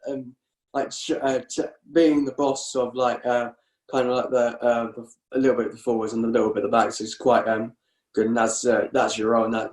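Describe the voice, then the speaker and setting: A man's voice: medium pitch, darkish tone, reverberant, rough recording. A man who plays scrum half, chatting hesitantly on a podcast with many restarts and fillers. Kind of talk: casual podcast chat.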